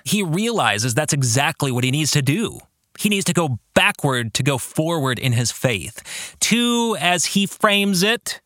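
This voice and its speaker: A man hosting a reflective solo podcast, speaking in deliberate, emphatic phrases. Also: on the high side, pushed and bright, dry recording, studio quality. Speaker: podcast host and author.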